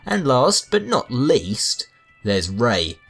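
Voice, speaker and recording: A man's voice: medium pitch, huge pitch swings, takes it slowly, gravelly, a bit bright, slightly rough. A man giving a scripted video game review with wry, sarcastic asides. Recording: music behind the voice. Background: background music.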